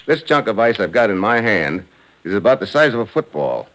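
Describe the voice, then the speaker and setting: Deep-voiced, wry tough-guy investigator. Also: brisk. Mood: cool, assured. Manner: laconic, deadpan. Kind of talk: 1950s radio drama acting. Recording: noisy old radio recording.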